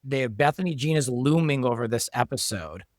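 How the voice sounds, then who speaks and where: A man's voice: medium pitch, expressive, normal speed, no room sound, studio quality. A man who created the show, chatting casually and explaining his process step by step. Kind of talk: casual chat.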